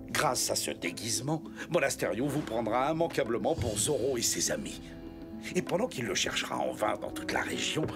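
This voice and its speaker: An actor playing a sly, smooth-talking swindler in a French cartoon dub, insinuating and theatrically self-assured. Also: male voice, mid-range voice. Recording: loud music behind.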